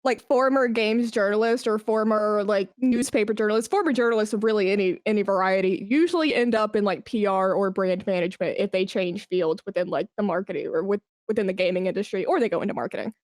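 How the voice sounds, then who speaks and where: A woman's voice: medium pitch, fast talker, few pauses, a little mellow, dry recording, clean studio sound. A young woman talking candidly and informally as a podcast guest, with wry turns of phrase. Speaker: podcast guest, esports brand manager. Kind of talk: casual interview chat.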